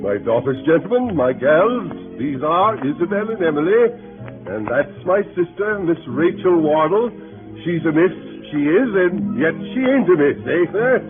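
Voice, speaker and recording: An actor in 1930s radio style playing a hearty, blustering middle-aged country gentleman host, genial and excitable. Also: on the high side, normal speed. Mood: jovial welcome. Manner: blustery, exclamatory, jovial. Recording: muffled 1938 radio broadcast, music behind.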